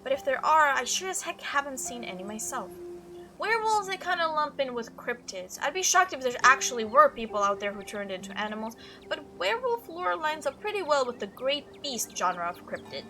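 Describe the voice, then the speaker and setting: A woman voicing a chatty, excitable young female cryptid hunter from a visual novel, telling stories in an animated, gushing way. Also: high-pitched, brisk, over music. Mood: musing, earnest. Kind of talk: visual novel character read-aloud.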